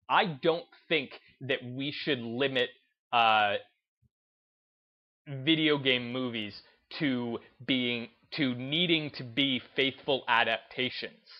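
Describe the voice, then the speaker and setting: A man's voice: mid-range voice, muffled recording. A man giving casual opinion commentary as a video host, emphatic and repeating phrases for stress.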